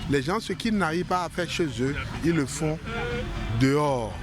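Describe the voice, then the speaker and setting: A man answering a street interview outdoors, speaking in a measured, moralizing way. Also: fairly high pitch, over music.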